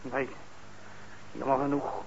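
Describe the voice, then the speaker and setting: Halting young tearaway, muffled recording. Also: male voice, medium pitch, little pitch movement, creaky. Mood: defensive, bitter. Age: young adult. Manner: hesitant, halting, blunt. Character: troubled young man on probation, resentful yet eager. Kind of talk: Dutch radio play acting. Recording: muffled, noisy old radio recording.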